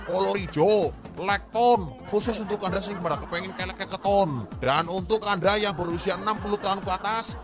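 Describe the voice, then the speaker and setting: A man with a Banyumasan Javanese accent doing a joking parody of a radio store ad. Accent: Banyumasan Javanese (Ngapak). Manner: mock-salesy, joking pitch. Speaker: parody ad announcer. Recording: old radio recording over music.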